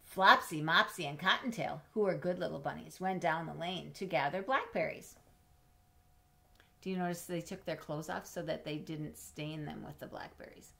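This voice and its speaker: A middle-aged woman with a slightly low voice reads a children's story aloud. She is expressive and teacherly, moving between storybook narration and simple questions or explanations for young listeners. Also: normal speed, vocal fry. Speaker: storyteller reading a picture book. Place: small indoor home room with bookshelves.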